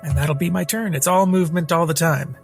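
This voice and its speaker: A man's voice: a little high, brisk, gravelly, music in the background. A man playing a tabletop game, talking through his turn in a casual, playful way.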